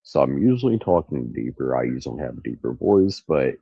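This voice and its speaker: A man's voice: a bass voice, big pitch swings, a little fast, creaky, breathy edge, no echo, lo-fi sound. A man with a nasal voice hosting a rambling, casual true crime livestream from an outdoor carport in the country. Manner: rambling, stops to look things up. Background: crickets and cicadas.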